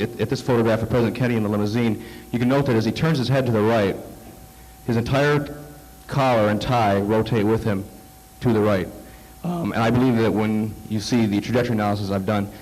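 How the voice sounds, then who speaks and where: Man, conference presenter with a cold, halting delivery full of fillers, lower voice. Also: darkish tone, rough recording. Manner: halting, many fillers and restarts. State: coming down with a cold. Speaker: conference speaker. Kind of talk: slide presentation talk. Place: conference hall.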